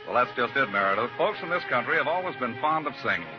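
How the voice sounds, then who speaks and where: A muffled old radio recording with music behind. An American male announcer reads advertising copy in a lively, mid-pitched voice. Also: fast talker. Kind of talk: 1940s scripted radio advertising.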